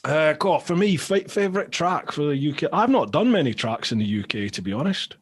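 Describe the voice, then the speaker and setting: A man's voice: fairly high pitch, fairly quick, breathy edge. A man from the south of England hosting a podcast, chatting casually and enthusiastically and reading out listener messages. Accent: southern English. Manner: enthusiastic, excitable, reads listener messages aloud. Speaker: podcast host. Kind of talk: casual interview chat.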